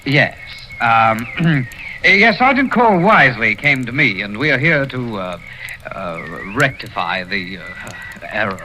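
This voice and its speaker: A flustered, apologetic shop manager in a vintage radio play. His bright, animated voice keeps stalling in polite hesitations, on a faintly noisy old recording. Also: medium pitch, unhurried. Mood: nervous. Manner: hesitant stammering, fussy politeness, throat clearing. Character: flustered, apologetic male assistant store manager. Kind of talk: 1940s radio drama acting.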